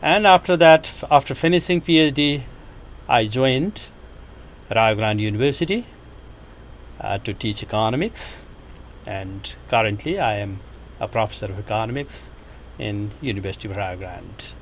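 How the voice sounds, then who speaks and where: A man, answering interview questions as an economics professor in a measured, hesitant way. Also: fairly low pitch, animated, slight background noise. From Nepal. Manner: measured, hesitant, with frequent pauses and fillers. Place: university TV studio.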